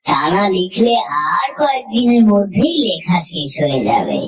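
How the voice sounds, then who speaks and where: A woman narrating a horror story in the first person, in a dramatic and measured manner. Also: lowish voice, very animated.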